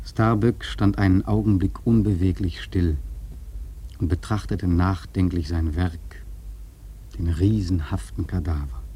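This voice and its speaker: A man playing a whaler who solemnly recounts the hunt, in dramatic literary radio play narration with a measured manner. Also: deep voice, steady tone, mellow, husky, exaggerated voice. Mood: somber.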